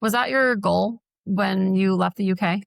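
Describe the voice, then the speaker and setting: Lady podcast host, mid pitched voice, casual chat, really dry recording. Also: measured pace, vocal fry, no echo.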